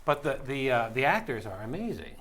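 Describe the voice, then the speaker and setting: Halting, animated male talk show host. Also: mid-range voice, a crowd in the background. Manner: halting, repeats words. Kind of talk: casual on-stage interview.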